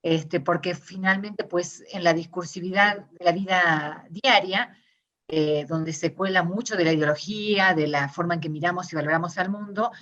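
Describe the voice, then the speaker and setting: Argentine lady talking it over slowly, kinda low voice, muffled sound. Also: a bit bright. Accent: Argentine Spanish. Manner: thoughtful, many fillers and self-restarts. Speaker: interviewee, discourse analysis scholar. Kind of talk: reflective conversational interview.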